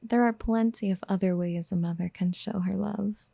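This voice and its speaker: A woman playing a doting, obsessive would-be mother in a soft-spoken ASMR roleplay, breathy and often near a whisper, tenderly coaxing. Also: medium pitch, steady tone, moderate pace, no echo, studio quality. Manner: tender, coaxing, hesitant pet-name murmuring. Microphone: close microphone in a dry, quiet space.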